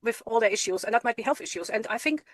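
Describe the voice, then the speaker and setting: A woman's voice: fairly high pitch, lively intonation, fast, dry recording, studio quality. Woman, emphatic and encouraging. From Germany. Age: middle-aged. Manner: encouraging, emphatic, repeats herself for stress. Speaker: guest. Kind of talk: earnest advice in conversation.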